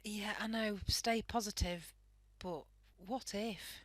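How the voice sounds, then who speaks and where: A woman's voice: medium pitch, fairly even intonation, slow, dry recording. A woman playing a worried, caring young British wife in a wartime radio play. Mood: anxious.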